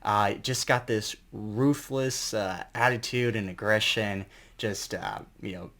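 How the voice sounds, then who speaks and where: A man's voice: on the low side, fairly even intonation, takes it slowly, a bit bright. A man giving a casual, unscripted album review as an enthusiastic fan, rambling and colloquial, with some mild swearing.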